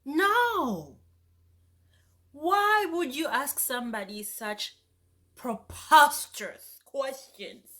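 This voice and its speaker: A young woman, vlogging in a casual, animated rant that turns indignant. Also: high voice, very slow. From Zambia. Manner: animated, indignant, rises sharply when exasperated. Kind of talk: casual ranting vlog.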